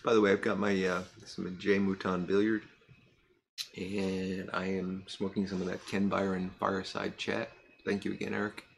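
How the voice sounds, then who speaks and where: A middle-aged man chatting casually to camera in a cluttered workshop, rambling with fillers and pausing to puff on a pipe. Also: low voice, gravelly, slight background noise. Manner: relaxed and rambling, many fillers and restarts; pauses to puff on a pipe while talking. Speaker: pipe-smoking vlogger. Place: cluttered workshop room, indoors.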